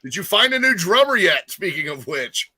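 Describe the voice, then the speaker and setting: A man's voice: sits high, sing-song, normal speed, bright, forceful tone, slightly rough. A man hosting a casual music interview, talking in an informal, rambling, encouraging way. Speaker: talk show host interviewing a musician.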